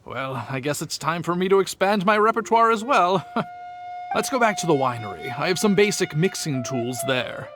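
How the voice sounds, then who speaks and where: An actor playing a polite, friendly, modest gentleman winemaker in a video game, speaking in a courteous, earnest, slightly hesitant way. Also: sits high, expressive, moderate pace, noisy background. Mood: agreeable. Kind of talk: scripted video game character acting. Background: background music.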